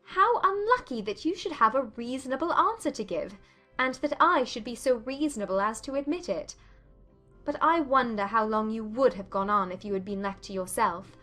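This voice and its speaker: A woman playing a witty, spirited young gentlewoman in a dramatized period romance audiobook, with a playful, articulate manner. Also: fairly high pitch, expressive, normal speed, faint music behind. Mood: playful, teasing.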